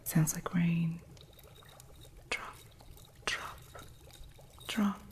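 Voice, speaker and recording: A woman speaks in a hushed, airy whisper, unhurried and deliberate. Her voice sits low with a faint gravelly texture. She guides an apprentice with gentle, teasing strictness, like a witch minding her shop. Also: fairly even intonation. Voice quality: soft unvoiced whisper. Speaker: ASMR artist. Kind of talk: whispered ASMR roleplay as a witch shopkeeper.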